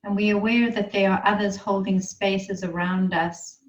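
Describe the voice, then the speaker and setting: A woman leading a guided meditation in a soothing, unhurried manner, with gentle instructions and pauses. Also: medium pitch, fairly even intonation, recorded from a distance, dull audio.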